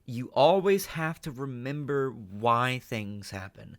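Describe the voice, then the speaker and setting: A man's voice: medium pitch, animated, slow. A man hosting a reaction video, giving off-the-cuff analytical commentary with emphatic check-ins.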